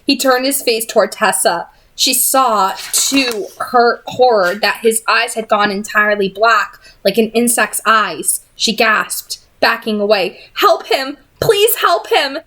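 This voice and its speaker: A woman reading a novel aloud in an expressive amateur audiobook style. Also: on the high side, a little slow, hardly any pauses, rough recording. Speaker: reader of a novel read-aloud.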